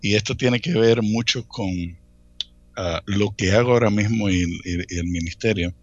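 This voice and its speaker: A man in his late thirties with a Dominican accent, telling his own story casually as an interview guest, often pausing and repeating words. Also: lowish voice, no echo, dull audio. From Dominican Republic. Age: late 30s. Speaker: interview guest, church ministry worker.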